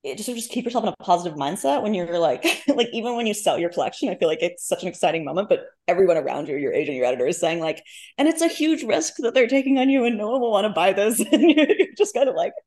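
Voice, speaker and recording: A woman speaking as a guest author in a casual interview, thoughtful and halting, often correcting herself mid-sentence. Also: medium pitch, big pitch swings, a little mellow. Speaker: guest author, short story writer. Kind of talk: casual literary interview chat.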